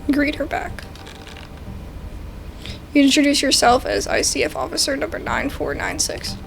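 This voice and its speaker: Girl gamer reading game text out loud, high pitched, super fry-heavy, casual. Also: sing-song, normal speed, a little hiss or hum. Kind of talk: casual let's play, reading narration aloud. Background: game music.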